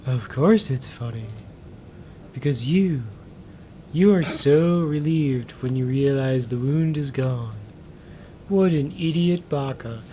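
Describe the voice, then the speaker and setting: A man voicing a smug, teasing captive in a story, slow and condescending, with noise behind him. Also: warm tone, lightly acted. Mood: mocking, condescending. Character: smug, teasing male captive with strange spells, slow and mocking. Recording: clear background noise with low rumble.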